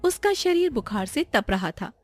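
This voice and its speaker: A woman's voice: high-pitched, sing-song, normal speed, soft background music. A woman narrating a scripted story.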